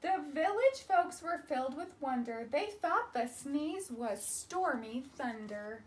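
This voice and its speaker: Animated, high-voiced woman reading a storybook. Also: takes it slowly, slight background noise. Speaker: teacher. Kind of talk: animated children's storybook read-aloud.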